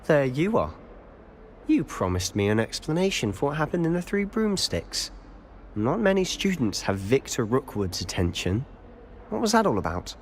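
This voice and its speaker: An actor playing a confident, conspiratorial teenage schoolboy wizard with a sly manner, in video game dialogue. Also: male voice, medium pitch, wildly swooping pitch, normal speed. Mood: curious, probing. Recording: reverberant hall, faint background noise.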